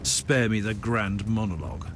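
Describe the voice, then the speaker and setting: An actor playing a grave, defiant, zealous inquisitor hero in a video game cutscene, formal and dry in manner. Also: male voice, lowish voice, moderate pace, natural voice. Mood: dry contempt. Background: loud music.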